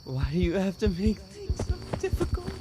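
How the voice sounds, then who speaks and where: A man playing an obsessive stalker boyfriend in a dramatic roleplay audio, halting and pleading, with murmured endearments and nervous chuckles. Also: sits high, huge pitch swings, heavy background noise. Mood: panicked, pleading. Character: obsessive secret yandere stalker boyfriend, tender turning desperate.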